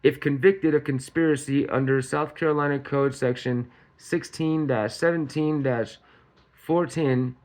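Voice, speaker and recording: A man with a medium-pitched voice presents news as an informal commentator. He reads aloud in a casual manner, sometimes stumbling, repeating and fixing his words as he goes. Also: rough recording. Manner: stumbles over words while reading, repeating and correcting himself. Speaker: host of a commentary channel reading news.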